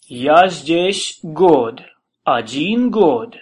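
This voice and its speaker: Male actor as a polite newcomer learning the language. Unhurried, careful delivery. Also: fairly high pitch, expressive, breathy edge, heavily acted. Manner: slow, deliberate learner's speech.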